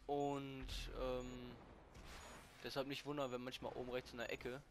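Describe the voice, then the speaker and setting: Excitable young man gaming. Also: medium pitch, normal speed, slight background noise. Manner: excitable, swears casually, bursts of shouting. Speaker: gaming co-host (Max). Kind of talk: casual gameplay commentary.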